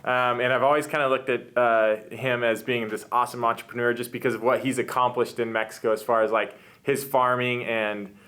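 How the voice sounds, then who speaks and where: A man's voice: mid-range voice, little pitch movement, a little fast, hardly any pauses, a bit bright. A man hosting a casual conversational interview, talking in an enthusiastic, rambling way. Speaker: host, interviewer.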